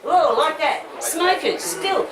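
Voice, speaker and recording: A woman's voice: high-pitched, animated, slow. Chatty Australian woman at a distant, echoey talk. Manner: chatty, rambling, blunt, with swearing and laughs. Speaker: medical cannabis advocate giving a festival talk. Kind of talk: informal public talk with audience questions. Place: festival talk in front of an audience. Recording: echoey, distant mic, audience crowd audible.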